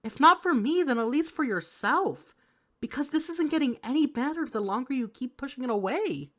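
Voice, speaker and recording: A woman's voice: high voice, animated, moderate pace, a bit bright. A woman playing a worried, exasperated partner in an intimate audio roleplay, speaking to the listener with earnest, caring reproach. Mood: worried, pleading. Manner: earnest, pleading, caring scolding.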